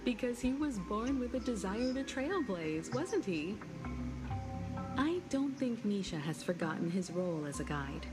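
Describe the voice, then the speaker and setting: Poised, inquisitive female guide for a video game, voiced by an actress; musing, animated intonation over music. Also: medium pitch, a little slow, natural voice. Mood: reflective.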